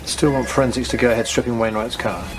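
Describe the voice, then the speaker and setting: An actor playing a brisk police colleague in an audio drama, offhand and matter-of-fact. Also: male voice, medium pitch, steady tone, lightly acted. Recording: clear background noise.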